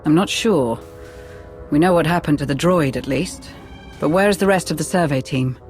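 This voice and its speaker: Male actor as earnest game officer, high-pitched, formal, urgent briefing delivery, faint music. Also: moderate pace, darkish tone, lightly acted. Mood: wary. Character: earnest male military aide giving mission briefings. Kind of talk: video game voice acting.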